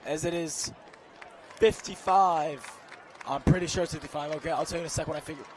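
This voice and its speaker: A young man with a hoarse, strained voice doing excitable live play-by-play commentary outdoors at a football game. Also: fairly high pitch, brisk. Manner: excitable, shouts on big plays. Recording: loud outdoor stadium crowd noise.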